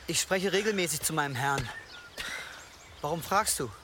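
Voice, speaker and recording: An actor in a German radio drama playing a gentle, devout monk with a halting, pious manner. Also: male voice, on the high side, lively intonation, noisy background, close to an everyday voice. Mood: gentle, sorrowful. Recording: clear outdoor ambience behind.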